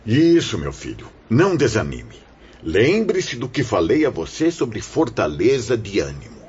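Man acting as deep, expressive fatherly spirit mentor. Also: unhurried. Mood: encouraging. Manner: paternal, solemn, preaching. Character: fatherly male spirit mentor, wise, consoling, pious. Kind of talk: religious radio drama acting. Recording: dull lo-fi audio with faint noise.

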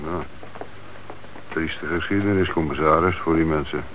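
An actor playing a dutiful police detective, the commissioner's assistant, brief and matter-of-fact in a radio play. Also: male voice, rumbling low, a little slow. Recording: noisy old radio drama recording.

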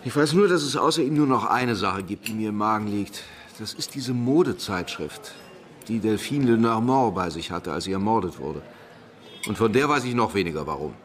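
An actor playing a pensive, decisive police chief in a German radio crime drama, thoughtful and authoritative in manner. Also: male voice, on the low side, animated, moderate pace, natural voice.

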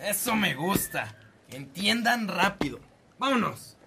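A very high, sharp-voiced official, bossy and full of his own importance. He blusters like a comic small-town bully in a radio play, over a noisy background. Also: sing-song, takes it slowly, creaky, heavily acted. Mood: smug, bossy. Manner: pompous, blustering. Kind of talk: Spanish-language radio drama acting.

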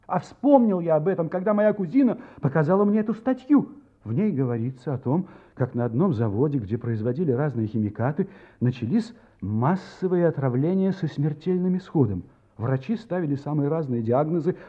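An earnest man investigating a crime in an audio drama. His voice is a little high and somewhat husky as he carefully lays out his reasoning. The sound is slightly dull. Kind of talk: audio play dialogue and narration. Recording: slightly muffled recording.

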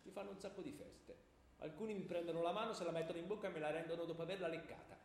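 A man's voice: on the high side, steady tone, racing, lightly acted. A man playing a cheeky, carefree young love god soothing his mother, in comic stage radio theatre. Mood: breezy, boastful. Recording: echoey room with faint noise.

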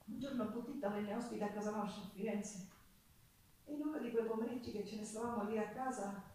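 An actress playing a passionate Sicilian folk singer recalling her life in an earthy, expressive theatrical monologue. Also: medium pitch, brisk, a little hiss or hum, lightly acted. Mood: earnest, reminiscing. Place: theatre stage with live guitar. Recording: echoey theatre stage recorded from far off.

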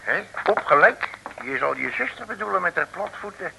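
A grumbling, cheeky detective. The voice is piercingly sharp, gravelly and very animated, in old radio serial style on a slightly noisy, tinny recording. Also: male voice, high-pitched, moderate pace, full character voice. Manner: cheeky, complaining, slangy. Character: grumbling cheeky working-class detective, reluctant spy recruit.

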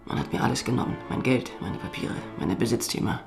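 An actress playing an imprisoned countess in a radio drama, pleading and indignant. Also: female voice, very deep voice, animated, fast talker, creaky, soft background music, heavily acted. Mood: despairing. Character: imprisoned countess, proud and despairing.

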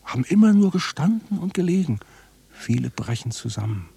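An actor playing a bitter, evasive contract calf fattener in a radio drama, husky and blunt, with a sardonic, coarse way of talking. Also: male voice, a little high, very animated, normal speed, warm tone, no room sound, exaggerated voice. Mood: bitter, indignant. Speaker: contract livestock farmer. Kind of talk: naturalistic radio drama dialogue.

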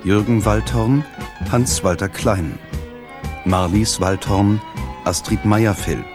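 A man's voice: on the low side, slow pace. A man formally announcing the credits of a radio drama. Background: plucked string music.

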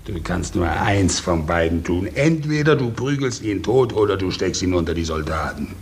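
A man's voice: deep voice, lively intonation, moderate pace. An actor playing a vain, stingy Russian nobleman, a count's brother, in a theatrical, boastful, mocking manner in a 1950s German radio play. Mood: pressing, wheedling.

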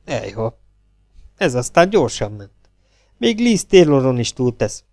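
A man's voice: medium pitch, sing-song, darkish tone, no room sound, clean studio sound. A man voicing a cocky, wisecracking male private detective in a crime story reading. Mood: wry. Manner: wry, joking.

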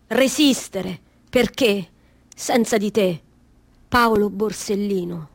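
A solemn chorus woman in a radio play. Her delivery is drawn out and extremely slow. The pitch is average, the sound very dry. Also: dry recording. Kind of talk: stylized radio theatre.

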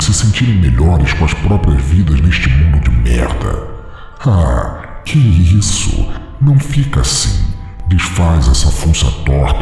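A man's voice: warm tone, heavily acted. A man voicing a cruel, taunting female inner demon in a story reading, sneering and mock-sweet. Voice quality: deep gravelly voice. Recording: echoey reverb effect with music.

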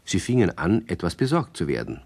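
Storybook narrator: adult man, lively intonation, slightly low pitch, scripted narration. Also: a little fast, crowd noise. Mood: storytelling. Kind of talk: scripted narration for children's radio play.

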